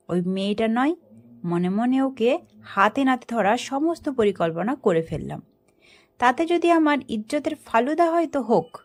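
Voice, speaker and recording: A woman reading a scripted story aloud as its narrator, in a dramatic storytelling style. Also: a little high.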